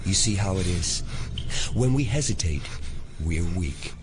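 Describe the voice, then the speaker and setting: An actor playing a calm, menacing telepath in an audio drama, measured, cryptic and condescending. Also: male voice, low voice, noisy background. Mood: quietly goading. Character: calm, menacing male telepath, coldly probing. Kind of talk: immersive audio drama acting.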